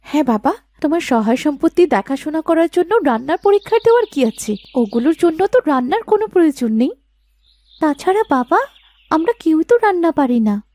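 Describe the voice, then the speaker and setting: A woman voicing a young village daughter in a cartoon folktale, girlish and expressive. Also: sits high, brisk, no echo. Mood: protesting. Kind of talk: animated folktale dialogue acting.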